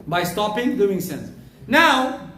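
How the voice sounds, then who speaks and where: A man giving a Friday sermon as khatib, loud and emphatic, stressing words as he preaches. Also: high voice, sing-song, slow pace. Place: mosque prayer hall. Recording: echoey hall, mic from far off.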